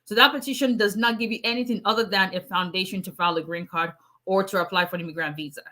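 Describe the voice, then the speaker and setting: A middle-aged woman with a Nigerian accent, an immigration attorney answering viewer questions in a casual livestream, with a strong, loud voice. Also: mid-range voice, fairly even intonation, fast talker. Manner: loud, strong voice, laughs often. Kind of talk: livestream Q&A reading viewer comments.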